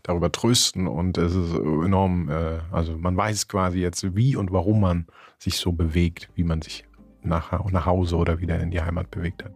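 A man co-hosting a book podcast, talking in a thoughtful, halting way with many fillers and restarts. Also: deep voice, level tone, a little mellow, breathy edge, faint music behind. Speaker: podcast co-host, book critic. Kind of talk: casual book discussion.

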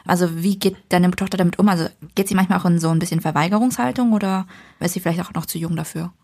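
A woman's voice: lowish voice, quick pace, vocal fry, darkish tone. Chatty woman podcast host. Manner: curious, chatty, youthful slang. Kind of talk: casual podcast interview.

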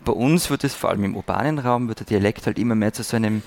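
A man's voice: on the low side, fast, gravelly, a little mellow. A man from Austria co-hosting a live panel chat, making dry, wry remarks. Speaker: podcast co-host.

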